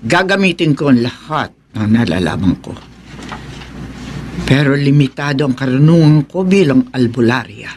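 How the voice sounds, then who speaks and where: An actor playing a worried village healer in a horror radio drama, speaking in a grave, measured, foreboding way. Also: male voice, mid-range voice, very animated, a little mellow, slight background noise. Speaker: folk healer (albularyo).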